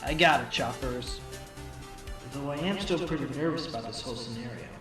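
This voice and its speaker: A man's voice: mid-range voice, fairly quick, music in the background, lightly acted. A man voicing a cocky young fox defense lawyer, smug and pointed, in a fan audio drama. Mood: nervous resolve.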